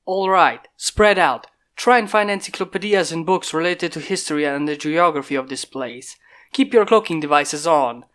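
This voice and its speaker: A methodical human inventor gives terse orders in a low, controlled voice, played by a man reading a fan story. The voice stays close to the reader's own and is recorded at home. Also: normal speed, fairly bright tone, lightly acted. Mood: commanding.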